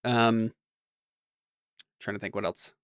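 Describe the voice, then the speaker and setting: A man's voice: on the low side, level tone, takes it slowly, smooth, no room sound, clean studio sound. A man hosting a podcast in casual chat, informal and a little hesitant as he thinks aloud. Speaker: podcast host.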